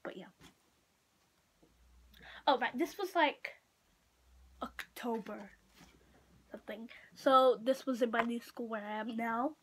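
A teenage girl from Portugal vlogging casually to camera in a room at home, talking in a halting way full of "like". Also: on the high side, fairly bright tone, slightly rough. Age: teenager, about 15. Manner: halting, frequent "like" and trailing off. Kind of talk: casual chatty show-and-tell to camera. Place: small room at home, indoors. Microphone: built-in device mic at arm's length or a bit further.

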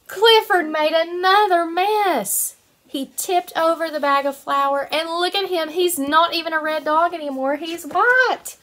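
A woman in her twenties, a kindergarten teacher reading a picture book aloud to young children in an animated, sing-song way. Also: sits high. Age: 20s.

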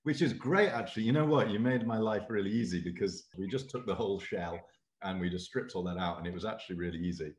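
Low-voiced rambling lecturer on a video call. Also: male voice, fast, slight background noise. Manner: self-deprecating, rambling, animated with hand gestures. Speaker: university lecturer in naval architecture. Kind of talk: casual video call interview. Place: office with bookshelves, indoors. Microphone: laptop webcam video call.

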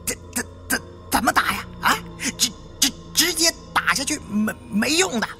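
A man's voice: extremely high pitch, animated, moderate pace, sharp tone, faint music behind, pitched above the performer's own voice, heavily acted. A man voicing an agitated tomb robber with a heavy stutter, in an audiobook. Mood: flustered.

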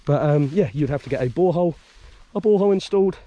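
A man giving a casual walking tour outdoors as a vlogger, rambling and chatty, with restarts and soft chuckles. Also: fairly high pitch, animated, very fast, muffled recording. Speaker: vlogger showing a property for sale. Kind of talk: casual walk-and-talk tour. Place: outdoors, walking a farm and village streets. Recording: outdoor noise behind the voice.

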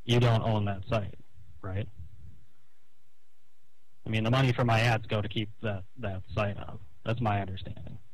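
Low-voiced man on a phone call. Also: steady tone, normal speed, pauses often. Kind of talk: phone conversation, airing grievances. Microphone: telephone call.

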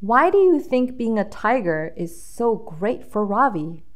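Animated, high-pitched woman reading a storybook. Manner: dramatic emphasis, drawn-out key words. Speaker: children's storybook reader. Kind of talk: expressive read-aloud storytelling with questions to young listeners.

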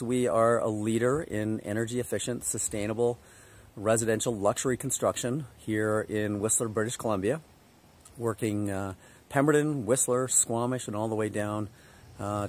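A man in his fifties giving a casual walkthrough of a construction site to a handheld camera, relaxed and upbeat with frequent filler words. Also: lowish voice, moderate pace. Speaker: builder giving a site tour. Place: outdoor construction site, then inside a framed house. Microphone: phone or camera held at arm's length.

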